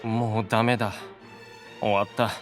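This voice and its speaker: A man's voice: fairly low pitch, flat delivery, normal speed, faint music behind, close to an everyday voice. A man playing an earnest, gluttonous young demon brother in an anime audio drama, sincere and plaintive. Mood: despairing.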